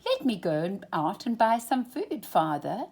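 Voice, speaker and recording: An eager little puppet boy from a storybook, acted out by a woman. He sounds innocent and excitable, with lively swings in pitch and a slightly husky edge. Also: unhurried, heavily acted. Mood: earnest. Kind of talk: children's storybook character voice.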